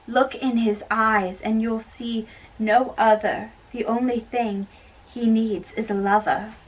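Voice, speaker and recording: A woman's voice: on the high side, steady tone, slow, breathy edge, recorded from a distance, poor audio. A woman playing a persuasive mother and lady of the house in an amateur play reading, coaxing and declaiming, off the mic.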